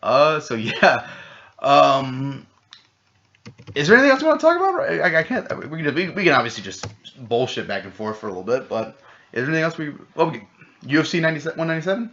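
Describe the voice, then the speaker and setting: A man co-hosting a casual podcast chat, rambling and excitable, swearing freely. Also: on the high side, fast talker, a little hiss or hum. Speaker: podcast co-host. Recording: dull lo-fi podcast audio.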